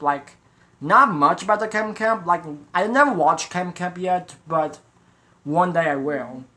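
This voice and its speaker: A man's voice: on the high side, sharp tone. A man hosting a reaction video, chatting casually and directly to viewers in a rambling, repetitive way. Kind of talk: casual trailer reaction chat.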